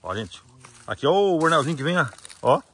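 A young man chatting with farmers outdoors, asking quick, upbeat questions while filming on a phone at arm's length. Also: fairly high pitch, very animated, fairly bright tone, breathy edge. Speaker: vlogger interviewing farmers. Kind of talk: casual rural interview. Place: outdoors on a hillside farm. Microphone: phone held at arm's length.

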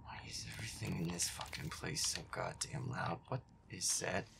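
A man playing a feisty, grumpy werewolf boyfriend who mutters and swears his way through a scary video game, often in a breathy whisper. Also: low voice, normal speed. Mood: grumbling. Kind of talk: boyfriend audio roleplay as gameplay commentary.

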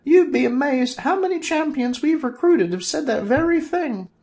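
Man putting on a high-pitched court lady, sly and polite, audiobook voice. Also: animated, normal speed, a little mellow. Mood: brisk. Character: humble young court woman, sly.

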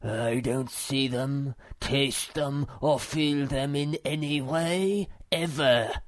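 Storybook muskrat, male man performing. Pompous, aloof philosopher, grave and unhurried delivery. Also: mid-range voice, no room sound, pitched above the performer's own voice, natural voice. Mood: solemn. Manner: slow, ponderous, dignified.

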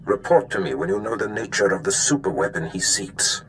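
An imperious Sith lord from a video game, giving orders in a lowish voice. He is measured and menacing, with faint ambient noise behind him. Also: a bit bright. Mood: stern, commanding. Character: stern, imperious male Sith master briefing his apprentice. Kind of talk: scripted video game acting.

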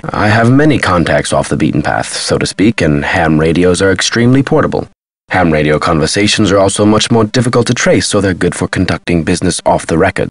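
An actor playing an eccentric, agitated scholar with memory loss in a video game, speaking theatrically with a husky voice. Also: male voice, sits low, no echo, exaggerated voice. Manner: theatrical, emphatic, swinging delivery. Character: eccentric, agitated male amnesiac Maya scholar.

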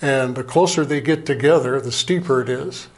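An older man, an Air Force veteran, giving a plain, halting slide presentation from a lectern in a small museum meeting room, heard from a camera across the room. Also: medium pitch. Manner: plain, unhurried, halting with fillers. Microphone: far camera, speaking at a lectern.

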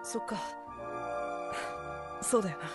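An actress playing a cool, princely tomboy who is easily flustered, with dramatic boyish phrasing in an anime audio drama. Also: female voice, a little slow, loud music behind the voice, lightly acted. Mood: subdued.

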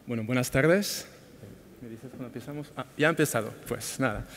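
A man moderating a conference session, making brisk, offhand remarks from the stage. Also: mid-range voice. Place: auditorium stage, far from the mic. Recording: distant voice in a noisy auditorium.

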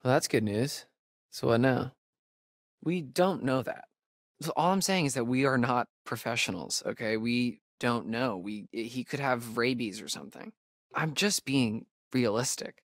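A man's voice: mid-range voice, no echo. Wry, nervy young American guy, very dry recording. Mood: wry, uneasy. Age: young adult. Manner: hesitant, stammering, wry. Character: sarcastic, nervy young male camp counselor. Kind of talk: naturalistic video game acting.

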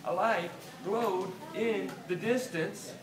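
A middle-aged American man from Delaware reads a picture book aloud to children, expressively, with deliberate pauses and questions to his listeners. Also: sits high, crowd noise. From Delaware, United States. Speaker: children's author. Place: small toy shop, indoors. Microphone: camera a few meters away. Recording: roomy, distant camera pickup, loud room noise.

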